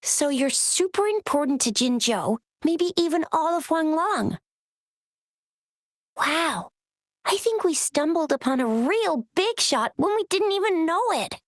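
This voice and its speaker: A brash, teasing young companion. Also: female voice, sits high, expressive, a little slow, no echo, studio quality. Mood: breezy. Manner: brash, joking, breezy. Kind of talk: game cutscene voice acting.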